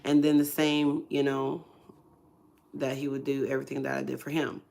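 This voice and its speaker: A woman with a low voice that is hoarse and a little congested, telling her testimony on a casual livestream. She speaks softly and hesitantly, with many fillers and pauses. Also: monotone. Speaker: ministry livestream host.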